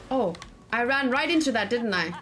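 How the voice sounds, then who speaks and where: A woman with a high, very animated voice gives casual gaming commentary over game music. She is excitable and talks to herself, often repeating short words in rapid strings as she plays. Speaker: gaming let's play host.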